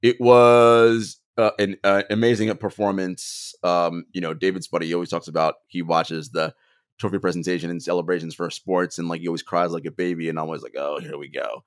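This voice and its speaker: A man co-hosting a sports podcast, telling stories in an animated, casual way and laughing often. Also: deep voice, brisk. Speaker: podcast co-host.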